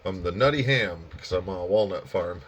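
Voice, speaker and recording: A man's voice: sits low, brisk, muffled recording, noisy background. A man chatting casually as an amateur radio operator, with an easygoing, folksy manner. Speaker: amateur radio operator and hobby video maker. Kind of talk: casual chat over ham radio.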